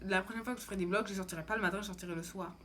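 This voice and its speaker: A young woman vlogger talks casually at a middling pitch, in a slangy, meandering way. She sounds like she is thinking aloud for her followers. There is faint noise in the recording. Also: fairly even intonation, rapid-fire, pushed and bright. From France. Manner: informal, slangy, rambling. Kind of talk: casual chat to camera.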